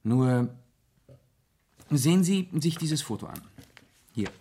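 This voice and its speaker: An actor in a German radio play playing a composed aristocratic gentleman detective, polite and matter-of-fact. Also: mid-range voice, lively intonation, takes it slowly, slight background noise. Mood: serious, probing.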